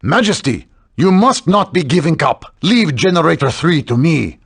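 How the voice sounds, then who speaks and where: Swooping old engineer. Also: male voice, a little high, moderate pace, creaky, slightly rough, no room sound, clean studio sound, heavily acted. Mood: determined, proud. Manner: broken grammar, excitable. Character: eccentric proud old male engineer. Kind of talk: cartoonish game voice acting.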